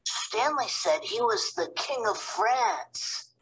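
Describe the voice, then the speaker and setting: A woman's voice: on the high side, expressive, slow, a bit bright, voice pushed up, exaggerated voice, poor audio. A woman voicing a cheeky, playful young boy in a storybook reading.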